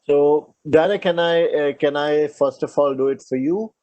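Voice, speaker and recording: A man's voice: on the high side, moderate pace, darkish tone, no room sound, muffled recording. A man moderating an online law school talk over a video call, speaking in a courteous way with a few fillers.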